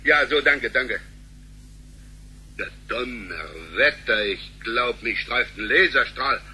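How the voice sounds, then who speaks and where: An actor in a sci-fi radio drama playing a flippant, indignant bank employee with a florid, pompous way of talking. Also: male voice, mid-range voice, expressive, takes it slowly, bright, forceful tone, a little hiss or hum, exaggerated voice. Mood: baffled, flustered.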